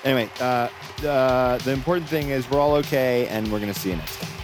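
A male actor plays a flippant showman in comic audio fiction. His medium-pitched voice is breezy, casual and joking, easily flustered, with music clearly audible behind him. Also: brisk, close to an everyday voice.

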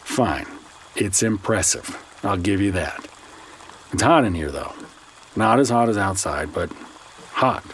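A skeptical, bitter grown son in a science fiction audio drama. His man's voice is fairly low, with lively swings in intonation. He sounds dry, sarcastic and reluctant to be won over. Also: measured pace, pauses often, slight background noise, full character voice. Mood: grudging.

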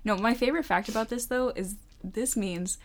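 A young woman with a calm, soothing voice, chatting casually as a podcast co-host. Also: on the high side, normal speed. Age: young adult. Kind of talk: casual pop culture podcast chat.

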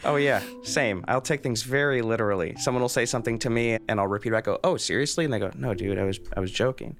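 A man's voice: mid-range voice, fast talker, soft background music. A man chatting casually as a podcast interviewer. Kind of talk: casual chat.

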